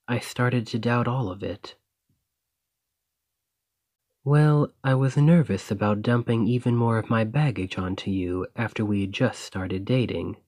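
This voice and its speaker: A man playing a devoted, gentle boyfriend in a romantic ASMR roleplay, soft and husky, with a tender, reassuring manner. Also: mid-range voice, no echo, studio quality. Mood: gentle, confiding.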